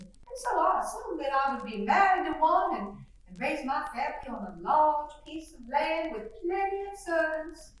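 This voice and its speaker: A woman's voice: high voice, a bit bright, slight background noise, exaggerated voice. An actress playing a fussy, middle-aged faded belle mother, who chatters on in a gushing, rambling way. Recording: echoey roomy sound from far off.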